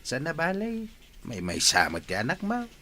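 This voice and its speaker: An actor with an Ilocano Filipino accent playing a humble, stubborn but polite older farmer in a radio drama. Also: male voice, a little high, wildly swooping pitch, normal speed, pushed and bright, a little hiss or hum, exaggerated voice. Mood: apologetic, firm.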